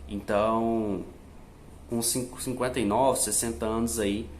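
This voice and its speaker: Young Brazilian man, chatty low voice. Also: steady tone, slight background noise. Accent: Brazilian Portuguese. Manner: chatty, fillers like né and tá, switches to slow careful English. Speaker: vlogger teaching language tips. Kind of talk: casual direct-to-camera tips.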